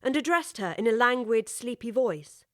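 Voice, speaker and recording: A woman narrating a scripted storybook audiobook in a measured, articulate way. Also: on the high side, animated, dry recording, studio quality.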